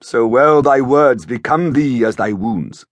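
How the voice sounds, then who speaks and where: A man voicing a stately, commanding king, speaking Shakespearean verse in a regal, measured manner. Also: mid-range voice, no echo, natural voice. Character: stately, commanding king, generous to his warriors. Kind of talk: dramatized Shakespeare verse audiobook.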